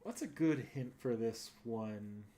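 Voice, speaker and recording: A man with an average-pitched voice talks casually as a livestream host. He rambles through his thoughts, backing up and correcting himself as he answers his viewers. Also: slow pace, dark tone. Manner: rambling, thinking aloud with frequent self-corrections. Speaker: streamer and host.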